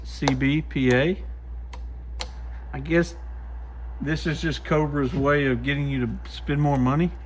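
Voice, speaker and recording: Higher-voiced man, casual and folksy. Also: fairly even intonation, unhurried, pauses often, a little hiss or hum. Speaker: host, CB radio shop technician testing used radios. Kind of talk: casual hands-on product testing commentary.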